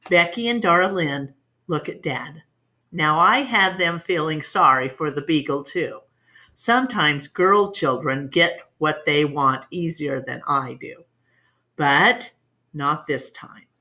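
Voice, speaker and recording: Woman storyteller for children's read-aloud, lower-pitched, very unhurried delivery. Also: bright, forceful tone.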